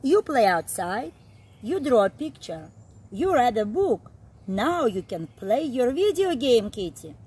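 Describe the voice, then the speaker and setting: Expressive, slow-reading middle-aged woman. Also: a little high, breathy edge. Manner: expressive, deliberate read-aloud delivery with simple emphasized phrases. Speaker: early childhood storyteller. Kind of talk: reading a picture book aloud to young children. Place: outdoor backyard, seated on a garden seat.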